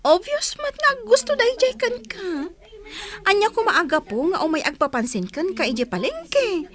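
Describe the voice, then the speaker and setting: An actress playing a gossipy, encouraging young best friend in an Ilocano radio comedy drama, teasing and exclaiming. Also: female voice, extremely high pitch, big pitch swings, normal speed, a bit bright, heavily acted. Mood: reassuring.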